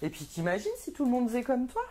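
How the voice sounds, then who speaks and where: A pushy, meddling busybody chatters on with false concern, voice pitched up high and pushed loud, as a woman puts her on. The delivery is insistent and bouncy, never letting the point drop. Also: sing-song, fast. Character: pushy, nosy acquaintance nagging about having children.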